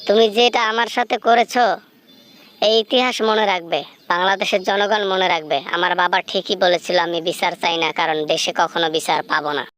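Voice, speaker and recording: A woman's voice: fairly low pitch, steady tone, a little fast, fairly bright tone, close to an everyday voice. Woman playing a bitter wronged female ghost. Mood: accusing. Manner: reproachful, solemn, threatening. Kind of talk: amateur dramatic phone-call skit.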